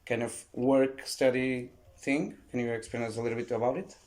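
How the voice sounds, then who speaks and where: A man's voice: medium pitch, steady tone, normal speed, a little mellow. Man, podcast host, second-language english, friendly, hesitant. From Portugal. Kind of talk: casual chat.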